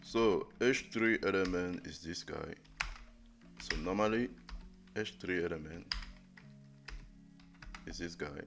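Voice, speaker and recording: A young man live-streaming a coding lesson, thinking aloud in a halting way with many fillers and restarts. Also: sits low, vocal fry. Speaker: coding livestreamer. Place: indoor room at a desk. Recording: music playing under the voice. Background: music playing and keyboard typing.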